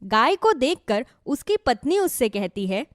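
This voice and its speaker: A woman's voice: high voice, very animated, unhurried, no echo, clean studio sound. A woman narrating a scripted children's moral story as a storyteller.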